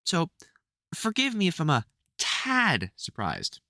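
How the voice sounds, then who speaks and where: A man with a British accent playing a caring veteran vampire hunter in an audio roleplay, halting and sweary, exasperated but gentle. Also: fairly high pitch, wildly swooping pitch, dry recording, clean studio sound, exaggerated voice. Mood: bewildered, exasperated. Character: gruff, caring veteran male vampire hunter, protective and worried. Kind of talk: audio roleplay, speaking to an unheard listener.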